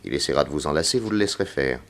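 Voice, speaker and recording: A man playing a grave, persuasive detective in a 1960s French radio serial, formal, deliberate and insistent. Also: rumbling low, animated. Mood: firm, commanding. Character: grave, persuasive male detective, solemnly warning a young woman.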